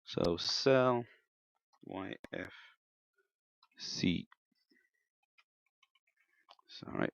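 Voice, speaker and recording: A man giving an unscripted, step-by-step technical demonstration in a hesitant, halting way, in a slightly muffled room recording. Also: deep voice, vocal fry, no echo. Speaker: amateur radio operator demonstrating equipment.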